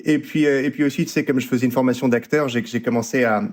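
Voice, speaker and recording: A man's voice: mid-range voice, fast talker. A man, an actor and voice coach, telling stories in a casual, playful interview chat. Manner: animated, playful storyteller.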